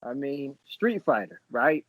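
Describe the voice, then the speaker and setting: A man's voice: mid-range voice, expressive, a little slow, a bit bright. A man hosting a podcast in casual chat about movies and games, speaking informally and often repeating his phrases as he goes. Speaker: podcast host.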